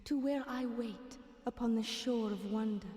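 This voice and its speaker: An actress playing a solemn mystical lady who slowly recites prophetic verse in a fantasy game. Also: on the high side, dark tone, natural voice. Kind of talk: slow dramatic verse recitation. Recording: echoey long hall reverb.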